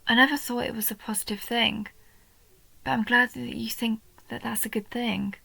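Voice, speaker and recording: A woman voicing a shy, sweet, nerdy goblin girl in a soft roleplay audio, hesitant and gentle, often dropping into breathy half-whispers. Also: fairly high pitch, fairly even intonation, normal speed, dry recording, heavily acted. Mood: wistful, touched. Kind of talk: roleplay audio, soft intimate story acting.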